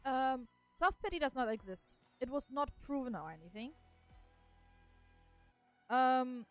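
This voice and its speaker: Lively German-accented woman streamer. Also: high voice, unhurried. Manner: chatty explainer, many fillers. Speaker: gaming streamer. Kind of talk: casual gameplay commentary. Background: faint game music.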